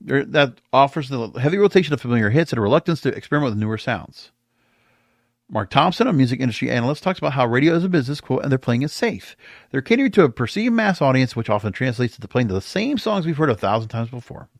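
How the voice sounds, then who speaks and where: A man delivers opinionated commentary as a podcast host. His medium-pitched voice moves up and down a lot, with emphatic, conversational phrasing. The sound is dry, with hardly any room to it. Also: sing-song, quick pace, creaky, dry recording. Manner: conversational, emphatic, partly reading aloud. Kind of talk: opinionated music industry commentary.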